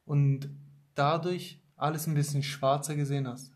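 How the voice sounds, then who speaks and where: Male host, slightly high pitch, casual and hesitant, lots of fillers. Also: fairly even intonation, moderate pace. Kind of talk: casual conversation.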